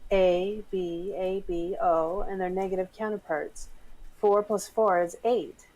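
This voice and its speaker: A woman's voice: mid-range voice, steady tone, slow, warm tone, close to an everyday voice, low-quality recording. A woman playing an impatient, skeptical surgeon in a screenplay read, matter-of-fact and questioning. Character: impatient, skeptical female surgeon named Alice. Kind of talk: script table read for a screenplay.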